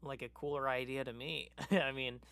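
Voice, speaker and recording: A man's voice: mid-range voice, fairly even intonation, normal speed, creaky, fairly bright tone, dry recording. A man co-hosting a podcast in casual chat, agreeable and a little hesitant in how he phrases things. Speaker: podcast co-host.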